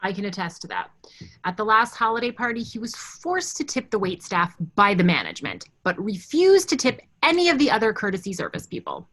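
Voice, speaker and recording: A woman's voice: mid-range voice, huge pitch swings, brisk, fairly bright tone. A woman in a staged comedy reading playing an assertive office worker with a pointed, challenging manner. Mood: pointed, dry.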